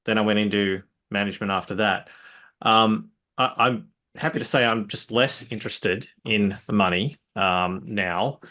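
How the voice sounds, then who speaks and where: A man from Australia, relaxed and self-deprecating as a podcast guest telling his story. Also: on the low side, moderate pace. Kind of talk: interview storytelling.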